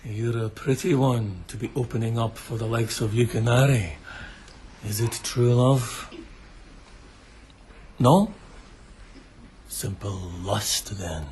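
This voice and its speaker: An actor playing a brutal, lecherous bandit leader in a radio drama, taunting with theatrical mock courtesy. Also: male voice, medium pitch, slow, pauses often, a little mellow, a little hiss or hum, lightly acted. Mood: leering taunts.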